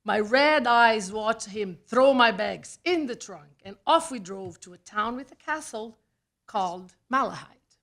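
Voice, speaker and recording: Woman, Brazilian accent, very slow pace, slightly high voice, scripted live storytelling, deliberate dramatic pauses. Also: lively intonation, a bit bright. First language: Portuguese. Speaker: writer reading own personal essay. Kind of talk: scripted storytelling read aloud at a live event. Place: small theatre, live reading event.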